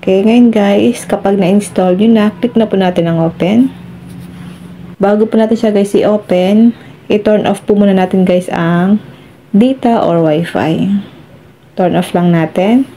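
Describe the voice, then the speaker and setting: A woman's voice: mid-range voice, measured pace, long pauses, mellow, slight background noise. A Filipino woman hosting a step-by-step phone tutorial, addressing viewers politely as she gives instructions. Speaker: tutorial host.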